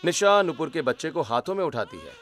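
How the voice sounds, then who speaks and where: Animated male storyteller with swooping pitch. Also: fairly quick. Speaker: story narrator. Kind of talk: scripted story narration.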